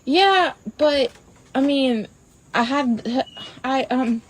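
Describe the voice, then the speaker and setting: A woman's voice: high-pitched, drawn-out words, rough recording. A woman playing a sheepish but breezy wife in an everyday comedy skit. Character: sheepish then breezy wife confessing to her man. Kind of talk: comedic two-person skit, everyday dialogue. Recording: faint background noise.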